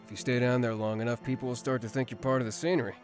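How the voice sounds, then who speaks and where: A man's voice: medium pitch, steady tone, rapid-fire, natural voice. An actor playing a teasing, smug, mysterious man in his twenties in a visual novel, with music behind him. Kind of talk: visual novel character acting. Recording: background music under voice.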